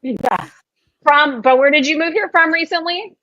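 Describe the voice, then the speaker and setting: A woman's voice: sits high, moderate pace, pushed and bright, dry recording, lo-fi sound. A woman with a Southern US accent hosting a casual chat over a video call, chatty and full of y'alls. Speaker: podcast host.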